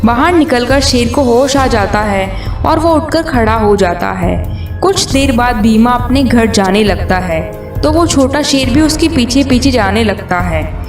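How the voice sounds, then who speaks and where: A woman with a fairly high voice narrates a scripted children's tale. She sounds roomy and a little away from the mic, with music clearly behind her. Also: far from the mic. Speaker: storyteller.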